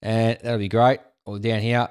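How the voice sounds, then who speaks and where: A young Australian man in his twenties casually talking through market charts, rambling with drawn-out fillers and a frequent "okay". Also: on the low side, flat delivery, darkish tone, clean tone. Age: 20s. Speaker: crypto video host.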